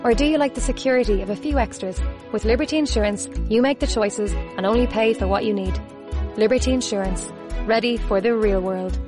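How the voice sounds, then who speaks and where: Female commercial narrator, fast delivery, mid pitch, scripted read with music bed. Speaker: advert voice-over.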